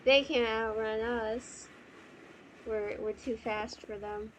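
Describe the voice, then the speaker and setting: A woman's voice: a little high, steady tone, slow pace, a little hiss or hum, low-quality recording. A woman streaming a game with casual live commentary, playful and blunt, often muttering to herself. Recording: game music behind the voice. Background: game music.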